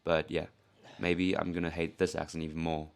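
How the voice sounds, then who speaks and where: A young man from South Korea, speaking in a put-on British-leaning accent. His voice sits low and gravelly with a steady, flat delivery. He narrates a lifting vlog candidly, joking at his own expense and cursing now and then. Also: moderate pace. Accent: put-on British-leaning English accent. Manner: candid and self-mocking, swears casually. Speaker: powerlifting vlogger. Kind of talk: casual voice-over narration.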